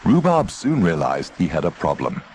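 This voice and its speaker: A man narrating a children's story in an expressive, playful manner. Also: deep voice, normal speed. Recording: faint noisy old tape transfer.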